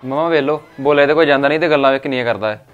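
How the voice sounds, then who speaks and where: A man's voice: mid-range voice, rapid-fire, narrow, tinny audio, close to an everyday voice. A man playing a brother who mocks his stuttering sibling in a mocking, impatient way. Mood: teasing. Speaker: son. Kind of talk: village comedy sketch acting.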